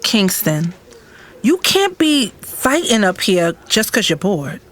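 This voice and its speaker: A woman's voice: medium pitch, sing-song, slow, fairly bright tone, full character voice. A woman with an American accent playing an emotional, insistent young girlfriend in an audio drama. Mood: worried, pleading. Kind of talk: first-person dramatic narration and dialogue in audio drama.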